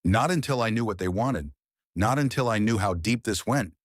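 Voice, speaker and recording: A man's voice: fairly low pitch, expressive, moderate pace, no room sound, clean studio sound, voice pushed up. A man voicing a secretive former lover who gives clipped, urgent warnings.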